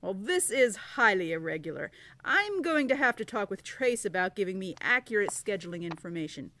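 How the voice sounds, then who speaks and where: A woman's voice: medium pitch, big pitch swings, a little fast, bright, forceful tone, no room sound. A woman playing a prim, officious, skeptical receptionist in an audio drama. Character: officious, fussy receptionist and dispatcher.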